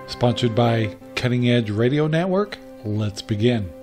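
A man's voice: mid-range voice, animated, measured pace, over music. A man reading a scripted introduction as the announcer of a vintage radio podcast.

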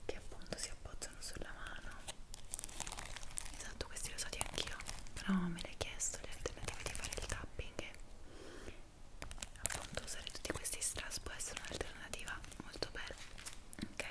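A woman whispers gently and intimately as an ASMR host, close to the microphone. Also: moderate pace, pauses often. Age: 20s. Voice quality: breathy, unvoiced whisper. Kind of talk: whispered ASMR personal attention, showing objects. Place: small room at home, indoors. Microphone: close microphone on desk.

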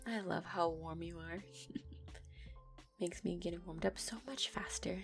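A woman's voice: fairly low pitch, slow pace, soft background music. A young woman voicing a playful, affectionate neko girlfriend in an audio roleplay, giggly and teasing as she talks.

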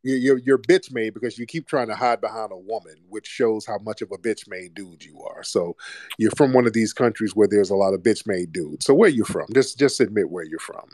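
A man's voice: on the low side, a little fast, a little mellow, no echo. A man hosting a live audio room, talking bluntly and mocking callers over a phone mic.

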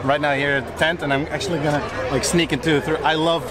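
A man's voice: fairly high pitch, steady tone, fast, a crowd in the background. A man in his thirties vlogging casually at arm's length, rambling and self-deprecating, on city streets at night and then at home. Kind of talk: casual vlog chat. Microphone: camera-mounted mic, held at arm's length.

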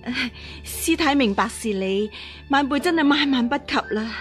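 An actress playing a scheming impostor empress dowager in a radio drama, deferential, hesitant and pleading. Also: female voice, sits high, lively intonation, moderate pace, faint music behind. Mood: nervous, deferential. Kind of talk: Cantonese radio drama acting.

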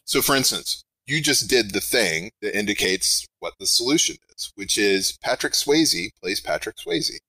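Loud-voiced man, bright and forceful. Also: on the low side, normal speed, few pauses, slightly rough, no room sound, poor audio. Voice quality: big projecting voice. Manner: rambling, self-interrupting, animated. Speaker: game designer guest. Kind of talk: podcast interview.

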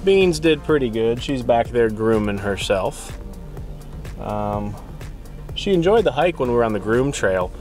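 A man's voice: mid-range voice, sing-song, unhurried, a little mellow, soft background music. A man around his thirties chatting casually to the camera as a travel vlogger, sitting inside a car.

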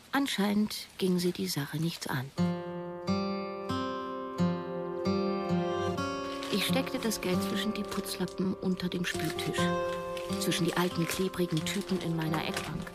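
A woman's voice: fairly low pitch, moderate pace, lightly acted. An actress playing a torn but stubborn woman writer in her thirties, narrating a German radio play. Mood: reflective. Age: 30s. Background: music.

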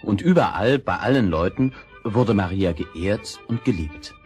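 A man narrating a fairy tale in an expressive storytelling style. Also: fairly low pitch, measured pace. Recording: old audio play with music.

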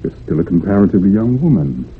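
An actor playing a calm doctor, measured, probing and authoritative, in a radio drama. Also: male voice, low-pitched. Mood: firm, advising. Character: calm, probing male doctor advising a patient. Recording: tinny, noisy old radio recording.

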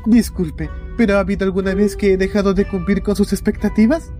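A man's voice: high voice, fairly even intonation, a little fast, warm tone, faint music behind, close to an everyday voice. A man playing a falsely sweet, playful demon in a Latin American Spanish anime fan dub, mock-polite and unctuous. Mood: mock sorrow.

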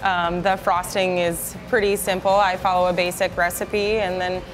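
A woman's voice: on the low side, flat delivery, moderate pace, low-quality recording. Woman in her thirties, cookie decorator, friendly and modest, music in the cafe. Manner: friendly, laughing often, modest. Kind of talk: casual interview answers. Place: small cafe, indoors. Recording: cafe sound with music behind.

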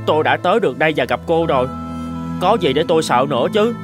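A man's voice: fairly high pitch, lively intonation, fast, lightly acted. An actor voicing a timid, honest young servant man in a cartoon, stammering. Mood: earnest. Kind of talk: animated cartoon dubbing. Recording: music behind the voice.

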